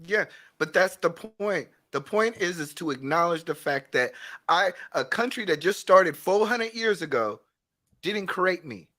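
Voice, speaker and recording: A man on a panel arguing his point forcefully and talking over the others. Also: sits high, expressive, a little slow. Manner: combative, interrupting, emphatic. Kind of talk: heated panel debate.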